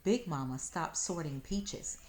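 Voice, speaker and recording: Female storybook narrator, slightly low register, expressive scripted read-aloud. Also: measured pace. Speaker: storybook reader.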